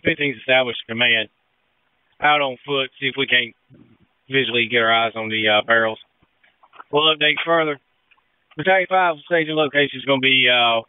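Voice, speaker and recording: A man's voice: mid-range voice, smooth, no room sound. A man, a fire engine officer, giving terse reports over a two-way radio. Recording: dry, muffled two-way radio.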